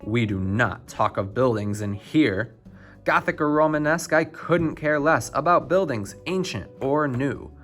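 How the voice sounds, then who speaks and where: A man's voice: medium pitch, a little slow, a bit bright, faint music behind, close to an everyday voice. A man voicing a stern, dramatic woman schoolteacher in a storybook, with a scolding, emphatic way of talking. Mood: stern, dismissive. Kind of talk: storybook character reading.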